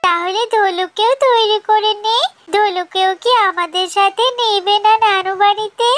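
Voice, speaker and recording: A woman voicing an eager, innocent little fox girl in a children's animated fairy tale. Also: very high-pitched, a little slow, dry recording. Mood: asking.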